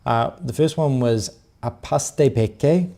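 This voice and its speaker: A middle-aged New Zealand man chatting on a livestream with a gravelly, mellow voice. He rambles easily through home updates, restarting his sentences and padding them with fillers, in a relaxed and unhurried way. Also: medium pitch. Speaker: co-host of a weekly livestream. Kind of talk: casual chat.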